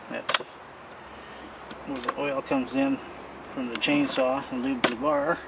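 An older man talks through a repair job in a folksy, rambling way, standing out in his backyard. The camera's mic picks him up from a few steps off, and steady outdoor noise sits under his voice. His pitch is ordinary for a man. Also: distant mic. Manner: folksy, rambling, plain-spoken. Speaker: homesteading channel host. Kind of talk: casual how-to demonstration. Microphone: camera mic from a distance.

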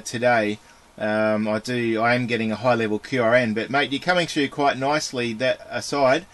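A man's voice: medium pitch, a bit bright. An Australian man working as an amateur radio operator, speaking in procedural phrases, phonetic call signs and friendly radio chat.

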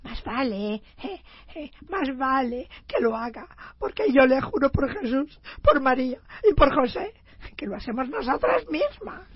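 Woman acting high-pitched, indignant immigrant tenement woman. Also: very animated, unhurried, husky, dry recording, lo-fi sound, full character voice. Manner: scolding, exclamatory. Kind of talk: comic stage radio play acting.

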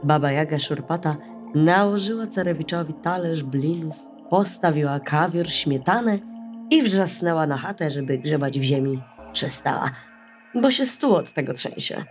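A woman storyteller narrating a bedtime fairy tale in an expressive, scripted style. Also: low-pitched, normal speed. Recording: music under the voice.

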